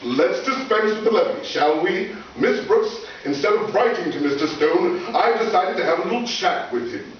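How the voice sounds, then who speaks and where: A man's voice: sits high, expressive, moderate pace, hardly any pauses. A man playing a pompous, hot-tempered older school principal in a blustering, theatrical old-time radio sitcom style. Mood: indignant. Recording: echoey live hall, distant mic, dull, hissy.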